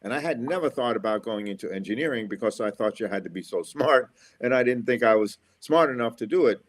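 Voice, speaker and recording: A man's voice: medium pitch, expressive, quick pace. A middle-aged American man from Florida, an engineer and school speaker, giving upbeat, motivational interview answers and repeating his key phrases. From USA, Florida.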